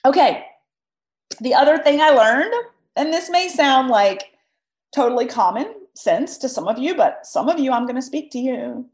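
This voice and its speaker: A woman with a high voice, made scratchy by a cold, hosts a solo podcast. She talks emphatically and right at her listeners, and the sound rings in an echoey room. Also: animated, moderate pace. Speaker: podcast host. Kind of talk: solo podcast talk, advice.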